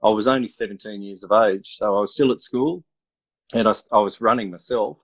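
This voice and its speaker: A man in his fifties with an Australian accent, an athletics coach telling stories in a relaxed, rambling way over a video call. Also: on the low side, a little fast, husky, no echo, dull audio. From country New South Wales, Australia. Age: 50s. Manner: relaxed, rambling, many uh fillers. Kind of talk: casual remote interview storytelling.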